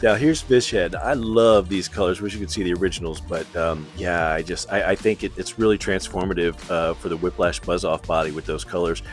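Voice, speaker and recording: A middle-aged man giving a casual, rambling commentary on artwork in an informal, halting, self-deprecating way. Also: low voice, steady tone. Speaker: artist showing his portfolio. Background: background music.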